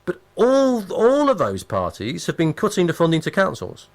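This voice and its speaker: A man's voice: a little high, huge pitch swings, normal speed. A man hosting a conversational political radio interview, speaking emphatically and repeating phrases to drive points home.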